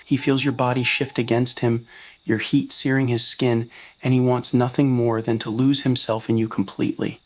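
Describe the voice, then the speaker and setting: A male narrator with a mid-pitched voice reads a scripted romance. The delivery is that of an ASMR roleplay story, told to the listener. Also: little pitch movement, normal speed. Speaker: narrator of fan fiction audio roleplay.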